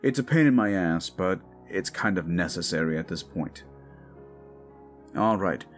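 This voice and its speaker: A man's voice: low voice, animated, measured pace, soft background music. A man giving an informal, rambling outro to his listeners as the creator of an audio drama. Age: adult with young child. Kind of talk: casual outro chat to listeners.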